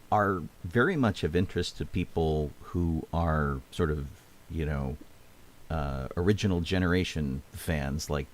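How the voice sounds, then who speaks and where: Male co-host, very low voice, chatty and affirming. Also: darkish tone. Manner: affirming backchannels, enthusiastic asides. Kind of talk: casual chat.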